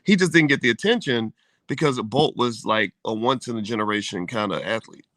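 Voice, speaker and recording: A man's voice: mid-range voice, brisk, bright, forceful tone, no room sound, clean studio sound. A man co-hosting a casual chat show, laid-back and joking, with slangy turns of phrase. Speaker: co-host.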